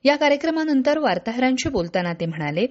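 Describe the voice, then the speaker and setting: A woman's voice: sits high, sing-song, fast. A woman reading scripted news as a newsreader. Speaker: news reader. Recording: dull lo-fi radio audio.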